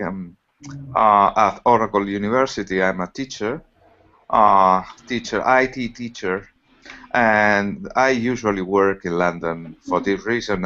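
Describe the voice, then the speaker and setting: Lower-voiced guy, IT teacher, halting and apologetic, muffled video call. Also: a bit bright. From born in Italy, lives in Spain. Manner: halting, apologetic, self-deprecating. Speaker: IT teacher, student.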